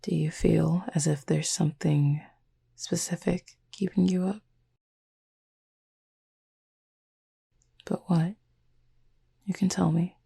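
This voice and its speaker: A woman voicing a calm, formal, gently teasing cupid demon roommate in a soft-spoken sleep-aid roleplay, with a husky, breathy voice. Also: exaggerated voice. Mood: calm, caring. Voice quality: husky, breathy, gravelly low voice. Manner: formal, measured, softly teasing speech.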